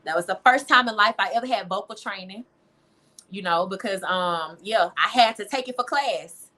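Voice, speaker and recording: A woman's voice: mid-range voice, moderate pace, bright, forceful tone. A woman who is a singer, speaking informally and expressively as an interview guest, often repeating phrases for emphasis. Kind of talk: candid, conversational interview.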